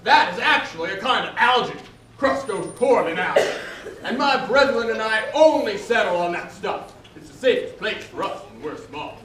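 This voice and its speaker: A man's voice: high-pitched, expressive, moderate pace, bright, forceful tone. A man performing a picky, matter-of-fact little abalone in a live children's puppet show. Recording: echoey hall, distant mic, faint noise.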